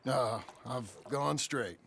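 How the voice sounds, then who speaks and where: An actor playing a gruff, dry ex-outlaw cowboy turned rancher, with an American Southern drawl, in a western game. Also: male voice, mid-range voice, slow pace, background noise. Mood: guarded, friendly. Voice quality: gravelly. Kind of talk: cinematic western game acting.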